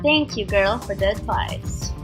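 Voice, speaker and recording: A woman's voice: medium pitch, a little slow, over music, natural voice. A woman playing an irritable, scheming female alien in a theatrical audio drama.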